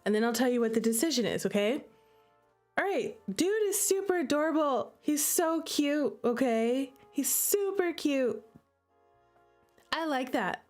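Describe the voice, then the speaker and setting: Animated, high-voiced woman streamer. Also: measured pace, soft background music. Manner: gushing, playful, chatty; emphatic, repeats "okay" and "listen" as tags. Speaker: game streamer reacting with live chat. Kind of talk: casual livestream commentary.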